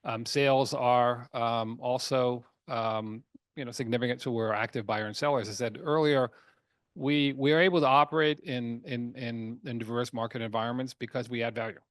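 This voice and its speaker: Male executive, mid-pitched, halting but emphatic business presenter with frequent word repeats, dry recording. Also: steady tone, dry recording. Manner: halting, repeats words and restarts often. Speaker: company chairman. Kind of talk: earnings call presentation, semi-scripted business talk.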